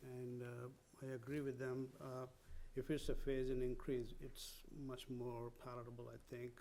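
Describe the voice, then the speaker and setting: A man's voice: medium pitch, monotone, normal speed, dark tone, a little hiss or hum, poor audio. A man at a public town meeting, speaking hesitantly with many fillers.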